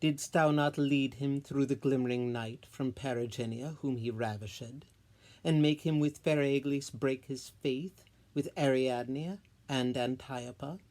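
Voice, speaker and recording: A solo reader voicing a proud, jealous fairy king with imperious, measured theatrical delivery. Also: mid-range voice, fairly even intonation, darkish tone, natural voice. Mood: stern, accusing. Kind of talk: solo Shakespeare verse reading.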